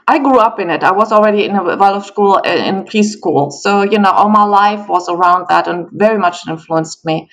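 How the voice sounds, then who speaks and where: A middle-aged woman from Germany with a German accent and German as her native language, a guest in a conversational interview, speaking in a measured, storytelling way. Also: fairly low pitch, low-quality recording.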